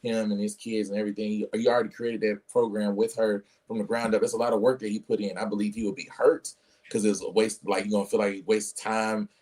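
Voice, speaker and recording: A man with a low, mellow voice speaks on a podcast panel. He is measured and lays out his points at length. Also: flat delivery, low-quality recording. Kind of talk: casual discussion.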